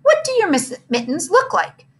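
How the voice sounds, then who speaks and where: Woman playing a lively, kindly male schoolteacher. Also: very high voice, normal speed, pitched above the performer's own voice, heavily acted. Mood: brisk, cheerful.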